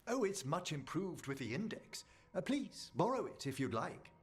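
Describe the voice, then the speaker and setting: Lilting, genteel scholarly necromancer. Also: male voice, on the high side, very animated, moderate pace, breathy edge. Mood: gracious. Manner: polite, formal, erudite. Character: courteous scholarly older male necromancer professor. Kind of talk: video game voice acting.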